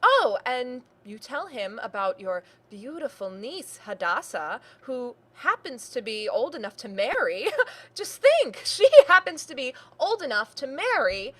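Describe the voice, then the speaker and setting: A proud, defiant young woman in a stage drama played by an actress, high and bright in voice. She is intense and bitter, and her delivery swings widely in pitch. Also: sing-song, a little slow, husky, full character voice. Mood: mocking. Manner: intense, declamatory, sarcastic. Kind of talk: theatrical stage play reading.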